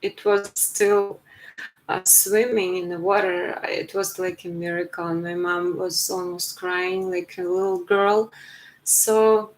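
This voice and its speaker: A woman in her forties with a Ukrainian accent, talking earnestly to her live stream viewers in a halting way, with many fillers and restarts. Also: fairly low pitch, fairly even intonation, low-quality recording. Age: 40s. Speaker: writer streaming to her channel. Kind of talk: casual live stream monologue.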